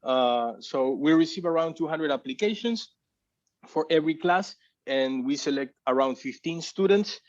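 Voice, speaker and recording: A man's voice: a little high, expressive, normal speed, muffled recording. A man giving a casual talk at a tech meetup, hesitant, with many fillers and restarts. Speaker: community organizer presenting.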